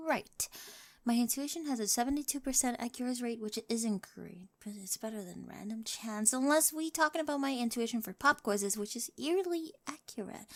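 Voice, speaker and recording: An awkward, lovestruck teenage girl, acted by a woman in an ASMR roleplay. Her voice sits high with a creaky edge. She rambles eagerly in a nerdy, quirky way, often softening into breathy near-whispers. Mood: puzzled, playful.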